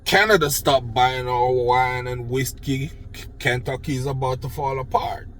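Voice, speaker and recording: A man's voice: medium pitch, unhurried, fairly bright tone. An older man from Jamaica with a Jamaican accent, ranting sarcastically about politics into his phone, blunt and swearing freely. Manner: sarcastic, mocking praise. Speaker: political commentator. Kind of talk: unedited political rant.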